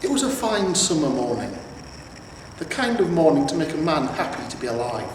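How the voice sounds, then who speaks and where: Guy reading a story out loud, really echoey room, slightly high voice, takes his time. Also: sing-song, moderate pace. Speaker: amateur audiobook reader. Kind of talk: scripted story narration. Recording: roomy, echoing, distant mic with noise.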